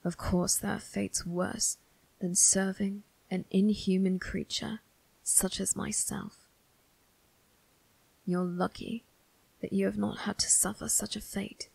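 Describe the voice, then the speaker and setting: A woman playing a cold, menacing young male vampire crime boss in an ASMR roleplay, speaking in a breathy, husky half-whisper with a measured, condescending manner. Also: medium pitch, level tone, darkish tone, exaggerated voice, rough recording. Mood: condescending menace. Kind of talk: ASMR character roleplay addressed to the listener.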